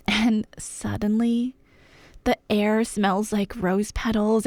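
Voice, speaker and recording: Playful higher-voiced woman telling a story, dry close sound. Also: darkish tone, dry recording. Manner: conversational, wry, dramatic pauses and emphasis. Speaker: ASMR roleplay performer. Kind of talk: playful scripted myth storytelling, ASMR roleplay.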